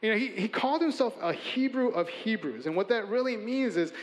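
Earnest preaching man with a high voice. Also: expressive, brisk, in a large hall, low-quality recording. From Chicago, USA. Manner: earnest, emphatic preaching with building lists and hushed endings. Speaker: pastor. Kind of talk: sermon.

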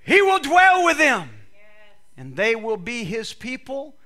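A man's voice: very high-pitched, wildly swooping pitch, slow, bright, forceful tone. A man preaching a sermon to a congregation, reading scripture with emphatic, repetitive phrasing while losing his voice. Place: church service with a congregation.

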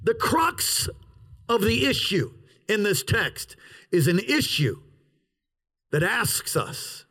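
A pastor preaching an outdoor sermon in a parking lot, with an opening prayer and emphatic, drawn-out pauses. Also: male voice, sits high, sing-song, creaky, sharp tone, breathy edge.

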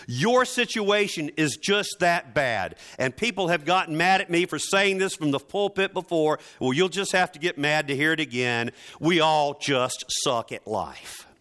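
A man preaching a sermon in an emphatic, dramatic and deliberate way, building through repeated phrases. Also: on the high side, sharp tone.

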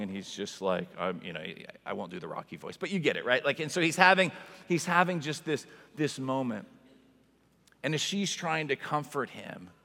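A man's voice: mid-range voice, a bit bright. A man in his forties preaching a conversational sermon as a pastor, with an informal, emphatic manner and frequent rhetorical asides. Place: large indoor church space with long reverb. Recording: reverberant hall sound.

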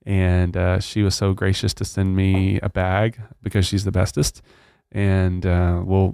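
Texan guy hosting a church podcast, low smooth voice, rambly and earnest. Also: a little mellow, no echo, studio quality. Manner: earnest, rambling with many fillers. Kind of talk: casual chat.

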